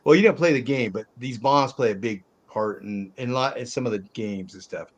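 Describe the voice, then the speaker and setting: A man's voice: medium pitch, normal speed. Older man hosting a casual film chat. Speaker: host of a film review panel. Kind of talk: casual movie discussion.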